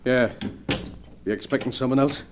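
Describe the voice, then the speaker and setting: An actor playing a desperate, tormented gangster boss in a melodramatic old-time radio drama. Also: male voice, mid-range voice, fairly even intonation, normal speed, background noise, close to an everyday voice. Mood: nervy, gruff. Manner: melodramatic, anguished.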